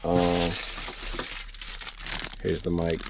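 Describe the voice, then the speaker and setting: A man giving a casual, unscripted how-to for a vlog, rambling and thinking out loud as he works. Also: low-pitched, completely flat delivery, drawn-out words, creaky, background noise. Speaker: vlogger showing a DIY truck installation.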